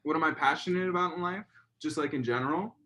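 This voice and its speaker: A young man talking casually as an interview guest, hesitant, with many fillers and restarts and a fairly high voice. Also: fast talker, pushed and bright. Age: young adult.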